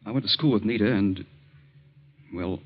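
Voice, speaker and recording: An actor playing an apologetic, deferential young medical student in a 1940s American radio drama, speaking haltingly. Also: male voice, lowish voice, lightly acted. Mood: sheepish. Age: young adult. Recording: dull old radio recording.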